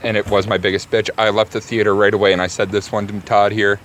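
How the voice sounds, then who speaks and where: A man's voice: fairly low pitch, level tone, fast, fairly bright tone, rough recording. A man co-hosting a casual film review podcast, giving blunt opinions in a joking way. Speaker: podcast co-host. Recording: faint background urban ambient noise.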